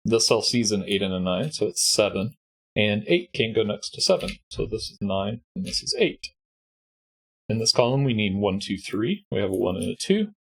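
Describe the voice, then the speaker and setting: Man, congested, slightly husky, lowish voice, patient step-by-step puzzle explanation. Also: sing-song, normal speed, rough recording. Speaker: puzzle solving host. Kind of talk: sudoku walkthrough.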